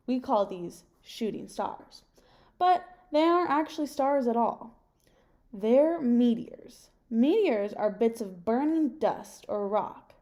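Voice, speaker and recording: Slow, mellow young woman reading to children. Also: on the high side. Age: young adult. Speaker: teacher reading a picture book aloud. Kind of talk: children's read-aloud with questions to listeners. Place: home kitchen, indoors.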